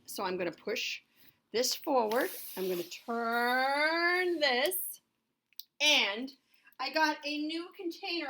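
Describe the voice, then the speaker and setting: Middle-aged woman, high-pitched, bright forceful tone, schoolteacher addressing small kids, simple question-and-answer phrasing. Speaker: elementary school teacher. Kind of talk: lesson for young children, read to camera.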